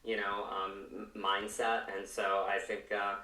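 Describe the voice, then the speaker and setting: A man from Virginia, an actor, giving casual, halting interview answers peppered with "you know". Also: lowish voice, flat delivery, bright, forceful tone, far from the mic. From Virginia, United States. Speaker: actor, podcast guest. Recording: roomy echo, away from mic.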